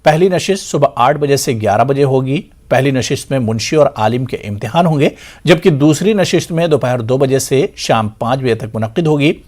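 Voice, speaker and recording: A man reading scripted news as an anchor, in a formal and measured manner. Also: mid-range voice, animated, a little mellow.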